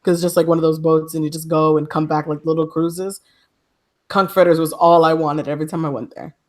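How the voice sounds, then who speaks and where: A woman's voice: sits low, level tone, a little fast. A woman co-hosting a live podcast, talking informally and inviting listeners to join in. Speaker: podcast co-host. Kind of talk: casual live-stream chat.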